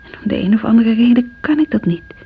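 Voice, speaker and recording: An actress playing an anxious, guilt-ridden married woman in a radio drama monologue, hushed and hesitant, with a Netherlands Dutch accent. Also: on the high side, expressive, quick pace, husky, full character voice. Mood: guilty, anxious. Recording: dull, faintly noisy radio recording.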